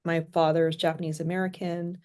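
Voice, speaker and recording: A woman with a somewhat low voice hosts a warm-paced podcast conversation. She talks reflectively, leaning on "you know" and "right?" as she thinks aloud. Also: flat delivery, brisk. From Hong Kong. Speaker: podcast host. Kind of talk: conversational interview.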